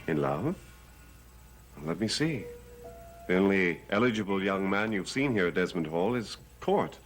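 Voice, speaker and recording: Brooding, cursed gentleman, earnest gothic soap delivery, very animated pitch, music underneath. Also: a little slow. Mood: guarded. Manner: earnest, dramatic, pleading. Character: haunted, brooding, cursed master of a gothic mansion. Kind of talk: gothic soap opera acting.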